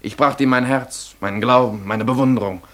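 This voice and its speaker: An actor playing a fervent, proud young romantic dreamer in a German radio play, declamatory and lyrical. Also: male voice, mid-range voice, brisk, fairly bright tone, close to an everyday voice. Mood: pleading, ardent.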